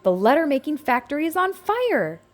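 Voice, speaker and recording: A woman's voice: high voice, sing-song, normal speed, faint music behind, pitched above the performer's own voice. A woman voicing eager, brave little alphabet letters in a children's storybook, exclaiming in an earnest, childlike way. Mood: alarmed. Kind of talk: children's picture book read-aloud.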